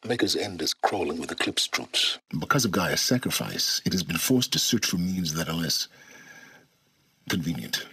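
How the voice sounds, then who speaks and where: An actor playing an arrogant, aloof older male scholar of ancient technology in a video game, speaking in a measured, formal, condescending way. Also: sits low, pushed and bright, husky, dry recording, heavily acted, low-quality recording. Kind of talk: video game voice acting, dramatic dialogue.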